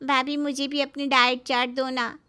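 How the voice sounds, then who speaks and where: A woman's voice: high-pitched, flat delivery, normal speed, bright, forceful tone, clean tone, soft background music. A woman voicing an admiring, outspoken young sister-in-law in a family story, with a gushing, girlish manner.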